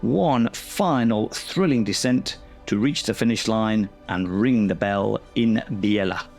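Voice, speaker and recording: A man giving measured, scripted documentary narration about a mountain race. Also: on the low side, creaky, darkish tone. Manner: measured, informative. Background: music.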